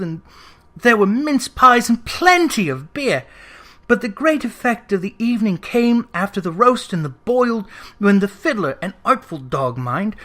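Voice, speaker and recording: A narrator reading Victorian fiction aloud in an expressive, theatrical storytelling manner. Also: medium pitch. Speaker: audiobook reader.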